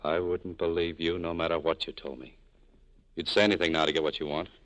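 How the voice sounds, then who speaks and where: Cynical cafe owner, deep bass register, terse and wounded, vintage radio drama delivery. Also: male voice, little pitch movement, fairly quick, a bit bright. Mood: bitter. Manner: terse, sardonic. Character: bitter, cynical cafe owner, hard-shelled former lover. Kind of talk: 1940s radio drama acting. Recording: old 1940s radio broadcast.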